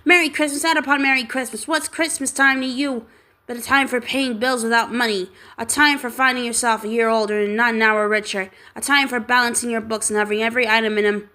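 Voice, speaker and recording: A woman voicing a miserly, bitter old man, speaking in a scornful, clipped and loud way. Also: medium pitch, brisk, close to an everyday voice.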